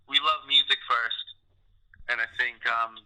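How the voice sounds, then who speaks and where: Very bright-voiced man, stammering on the phone. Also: mid-range voice, fairly even intonation, measured pace. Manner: rambling, stammering restarts. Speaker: musician in a band. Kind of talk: casual phone interview. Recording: phone line.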